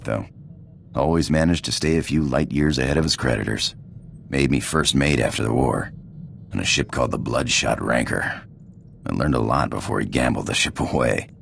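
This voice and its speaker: A man playing a cocky, roguish space pirate in a video game, with a gravelly drawl, casual slang and a flirtatious swagger. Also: moderate pace, fairly bright tone, slight background noise, exaggerated voice. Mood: breezy, storytelling. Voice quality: gravelly, very deep voice. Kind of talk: video game character acting.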